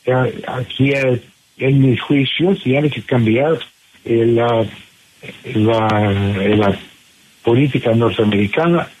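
Slow, hesitant man. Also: mid-range voice, a little mellow, a little hiss or hum, rough recording. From Michigan, USA. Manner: hesitant, many fillers and restarts, searching for words. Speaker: ambassador, interview guest. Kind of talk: halting interview answers in Spanish.

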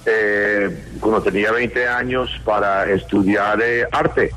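A man's voice: on the low side, fairly even intonation, takes it slowly, fairly bright tone, a little hiss or hum. Hesitant Colombian man in his sixties. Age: 60s. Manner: hesitant, many fillers and restarts. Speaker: interviewee. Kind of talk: phone interview.

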